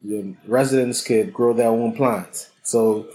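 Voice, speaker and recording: A man's voice: medium pitch, fairly even intonation, takes it slowly, dark tone, slight background noise, rough recording. A talkative, boisterous man from the Bahamas with a Bahamian accent, telling his story as a guest in a casual interview. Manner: boisterous, talkative, repeats words. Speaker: guest, cannabis consultant.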